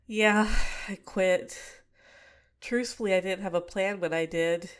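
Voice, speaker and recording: A woman's voice: medium pitch, takes it slowly, smooth, natural voice. A woman playing a scheming young villainess. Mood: shy, apologetic. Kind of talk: ASMR roleplay.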